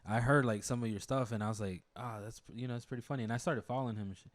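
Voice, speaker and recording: A man's voice: medium pitch, fairly even intonation, very fast. A young man co-hosting a podcast, chatting loosely with jokes, swearing and plenty of fillers. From United States. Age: young adult. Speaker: podcast co-host. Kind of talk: casual chat.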